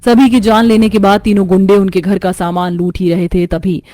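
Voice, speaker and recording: A woman's voice: mid-range voice, little pitch movement, normal speed, mellow. A woman narrating a scripted horror story as its storyteller. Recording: music under the voice.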